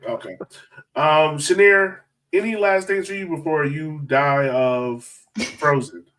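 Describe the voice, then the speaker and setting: A man's voice: on the high side, takes it slowly, fairly bright tone, far from the mic, a little hiss or hum, rough recording. A man hosting a casual sports fan podcast, talking in an informal, slangy, joking way. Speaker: podcast host.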